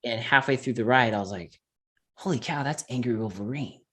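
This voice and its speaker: A man from California talking casually as an interview guest, animated and laughing often. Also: medium pitch, a little fast, crowd noise. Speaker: interview guest, mountain biker. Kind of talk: casual conversation.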